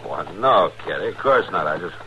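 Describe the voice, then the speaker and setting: Very deep-voiced frontier marshal. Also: male voice, animated, moderate pace, sharp tone, full character voice. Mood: dry, easygoing. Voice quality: deep, gruff. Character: stern, protective frontier US marshal, big-framed, steady. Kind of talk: 1950s western radio drama acting. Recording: dull, noisy old radio recording.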